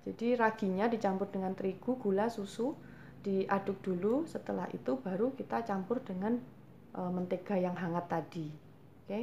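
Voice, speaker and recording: A woman's voice: mid-range voice, a little mellow, dull audio, slight background noise. Javanese-accented woman explaining baking. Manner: patient, explanatory, frequent hesitation fillers, addresses viewers as mothers. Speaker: cooking channel host. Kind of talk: home baking tutorial answering viewer questions.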